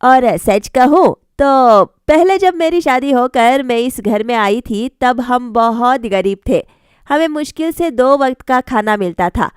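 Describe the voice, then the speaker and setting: A woman's voice: a little high, smooth, dry recording, studio quality, natural voice. A woman voicing a plain-spoken, resigned older mother-in-law in a storybook, explaining things matter-of-factly.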